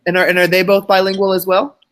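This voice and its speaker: A man's voice: sits high, completely flat delivery, fast talker, fairly bright tone. Enthusiastic male podcast host. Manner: enthusiastic, repeats words when starting sentences. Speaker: show host. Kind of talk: casual interview talk.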